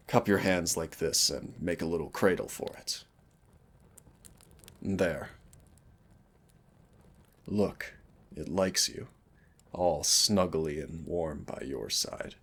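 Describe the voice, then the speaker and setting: A man playing a teasing, lovestruck winged fire demon in an intimate audio roleplay, with a deep, husky, gravelly voice. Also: heavily acted. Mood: gentle, explaining. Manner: intimate, teasing, addresses listener as darling.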